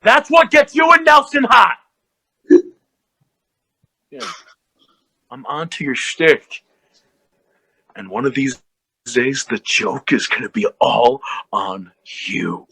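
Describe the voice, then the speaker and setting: A crude costumed bully acted by a man sneers in a bright, forceful voice. He taunts slowly with menace and wide swings in pitch. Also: sing-song, gravelly, breathy edge, full character voice, low-quality recording. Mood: sneering, threatening. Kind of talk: dramatic fan-made audio acting.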